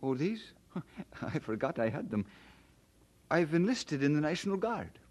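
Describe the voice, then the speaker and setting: An actor playing an earnest, devout young Scottish immigrant in an audio drama, polite and expressive. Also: male voice, a little high, unhurried. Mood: casual, eager. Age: young adult. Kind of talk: audio drama acting with first-person narration.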